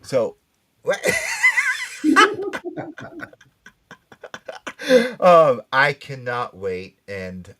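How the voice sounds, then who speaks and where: A man hosting a podcast with excitable, joking banter, rambling and repeating phrases for effect. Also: mid-range voice, drawn-out words, long pauses, a little hiss or hum. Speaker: podcast host.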